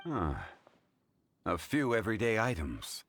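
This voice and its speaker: Very deep-voiced, composed scholarly gentleman. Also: sing-song, a little hiss or hum. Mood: composed, pondering. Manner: formal, deliberate, composed. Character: calm, learned, analytical gentleman of measured dignity. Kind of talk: video game voice acting.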